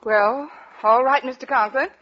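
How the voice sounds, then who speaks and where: An actress playing a wisecracking, easily flustered English teacher with dry delivery in an old radio comedy. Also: female voice, high voice, fairly even intonation, moderate pace. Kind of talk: 1940s-50s radio comedy acting. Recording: dull, noisy old radio, studio audience.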